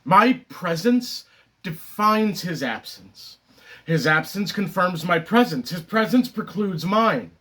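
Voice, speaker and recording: A man performing a stage comedy monologue as a neurotic, resentful theatre critic, declaiming dramatically and deliberately. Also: high voice, expressive, fairly bright tone, full character voice. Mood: brooding, grandiose. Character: neurotic male theatre critic consumed by second-string resentment.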